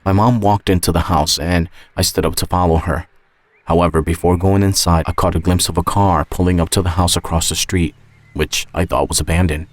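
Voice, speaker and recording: A man with a low voice narrates a short story in the first person. He is measured and reflective, and the sound is very dry. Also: steady tone, dry recording. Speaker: narrator and author.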